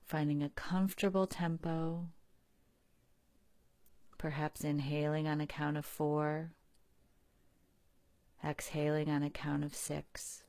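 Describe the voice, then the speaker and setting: Female meditation guide, low-pitched and soothing, very slow delivery, dry close sound. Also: no room sound. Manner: calm, unhurried, soothing, with long pauses. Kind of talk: guided relaxation narration.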